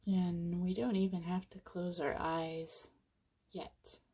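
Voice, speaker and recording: A woman guides a meditation in a slightly low, mellow voice. She takes her time, leaving pauses between short phrases. Her wording is casual and conversational, delivered in a soothing, very unhurried way. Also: flat delivery, slightly rough.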